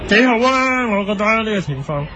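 A man's voice: high voice, lively intonation, normal speed, a crowd in the background, noisy background. A man playing a vague, self-important food critic giving pseudo-profound answers in a radio comedy interview. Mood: vacantly pleased.

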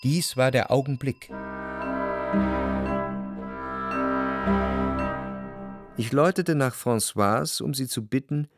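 An actor playing a wealthy, introspective man, narrating a literary radio drama in a lyrical, measured manner. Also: medium pitch, over loud music, lightly acted. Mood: longing, reflective. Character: wealthy, introspective, sensual Frenchman recalling love and longing. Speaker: first-person narrator.

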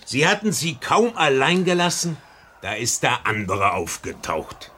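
An actor playing a chatty old lighthouse keeper in a radio crime drama, with a folksy, halting way of talking. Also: male voice, mid-range voice, big pitch swings, bright, forceful tone. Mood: insistent. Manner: folksy, hesitant, repeating words. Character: old lighthouse keeper and seaman, bluff, chatty, whisky-drinking. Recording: old radio play with faint background noise.

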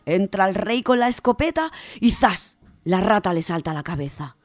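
A woman with an accent from Spain, presenting and reading children's stories on the radio in an expressive, dramatic way. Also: mid-range voice, moderate pace, no room sound, studio quality. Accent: Spanish from Spain. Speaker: radio storytelling contributor. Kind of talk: children's book reviews and expressive story reading. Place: radio studio.

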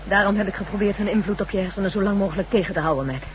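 A woman's voice: medium pitch, rapid-fire, lightly acted. An actress playing a loyal female ally, pleading and insistent, in a science fiction radio drama. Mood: shaken, concerned. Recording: noisy old radio drama recording.

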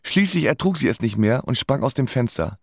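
A man's voice: mid-range voice, expressive, brisk, creaky, a little mellow, no room sound, studio quality. An actor playing a sardonic dance-band musician who narrates his bleak life drily in a radio play. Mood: dry, sardonic. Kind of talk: scripted first-person radio play narration.

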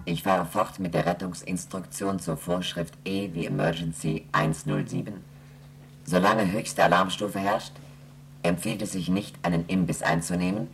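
Very deep, creaky station computer. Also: male voice, slight background noise. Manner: deliberate, monotone, formal announcing. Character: slow, emotionless space station computer reciting emergency regulations. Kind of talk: radio play acting.

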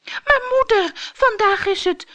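A woman voicing a bossy, excitable young girl starfish for a children's storybook. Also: extremely high pitch, slow pace, muffled recording, pitched above the performer's own voice, heavily acted. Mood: pleading, dismayed. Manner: exclaiming, impatient, emphatic.

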